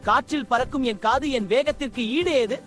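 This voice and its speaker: A woman's voice: high-pitched, fairly quick, faint music behind, natural voice. A woman voicing a playful, boastful little boy rabbit in a children's cartoon. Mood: proud, playful. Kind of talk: children's cartoon character dialogue.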